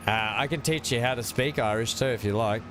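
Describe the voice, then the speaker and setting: A man's voice: mid-range voice, a little fast, noisy background. A man with an Australian accent hosting a game stream, chatting casually and laughing often. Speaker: gaming channel host.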